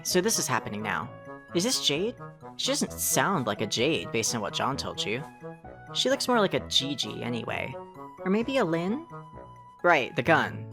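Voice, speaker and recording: A woman acting as the wry, easily sidetracked narrator of a fan-dubbed visual novel, with conversational asides. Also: rumbling low. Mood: flustered, wry. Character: second-person narrator, wry, rambling, easily sidetracked visitor.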